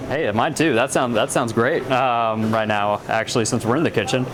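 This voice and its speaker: A man interviewing a guest with a brisk, friendly series of quick questions. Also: mid-range voice, fairly even intonation, background noise. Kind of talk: asking rapid-fire interview questions. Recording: music clearly heard under the voice.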